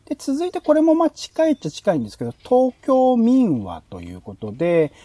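A man's voice: extremely high pitch, huge pitch swings, brisk, mellow. A man hosting a podcast, explaining ideas casually and thinking aloud in long, loosely linked sentences. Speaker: podcast host. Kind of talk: casual explanatory monologue pitching show ideas.

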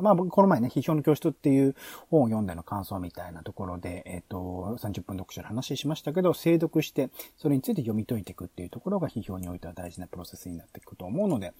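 A man hosting a podcast, explaining ideas in a halting, think-aloud manner full of fillers. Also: medium pitch, mellow, husky, dry recording. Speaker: podcast host. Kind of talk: explaining show ideas in a planning talk.

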